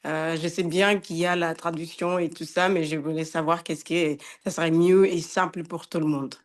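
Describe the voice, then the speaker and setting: A woman's voice: sits low, steady tone, normal speed. Hesitant woman. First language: Portuguese. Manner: hesitant, many filler sounds. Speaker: nominee.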